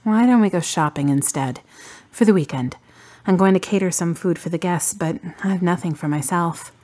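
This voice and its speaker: A woman acting in a radio drama as a grieving adult daughter full of doubt, pensive and questioning, with a breathy voice. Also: on the low side, moderate pace, a little mellow, lightly acted. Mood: light, wry. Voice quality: husky, breathy.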